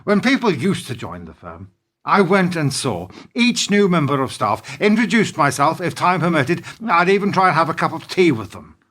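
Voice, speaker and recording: A fairly high-pitched man's voice , acted for a radio play. He is a weary, middle-aged business partner who reasons earnestly and reproaches in measured phrases. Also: a bit bright. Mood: quietly reproachful.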